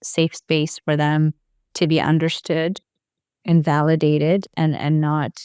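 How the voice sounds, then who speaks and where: A woman, a former psychiatric nurse telling her story as a guest in a thoughtful, soft-spoken way. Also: low-pitched, level tone, a little slow, smooth, dry recording, studio quality. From Ethiopia. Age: 40s. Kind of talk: personal storytelling in an interview.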